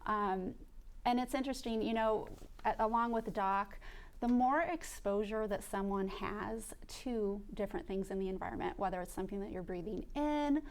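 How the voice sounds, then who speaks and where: A woman's voice: mid-range voice, moderate pace. A woman who runs an allergy department, explaining methodically as a guest in a radio studio. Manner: clear, methodical, lists things step by step.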